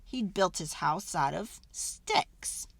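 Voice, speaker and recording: A woman's voice: on the low side, animated, takes it slowly, vocal fry, a bit bright, breathy edge, dry recording, voice pushed down, exaggerated voice. A woman voicing a folksy, mock-sincere wolf who pleads his innocence, in a conversational children's read-aloud style. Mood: self-justifying. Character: folksy, innocent-acting wolf telling his side, self-justifying.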